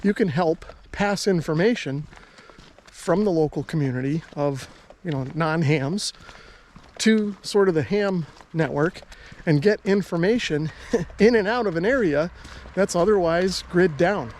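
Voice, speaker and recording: American man, somewhat high-pitched, easygoing, conversational, hobbyist video host, casual explanatory talk. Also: a little slow, darkish tone.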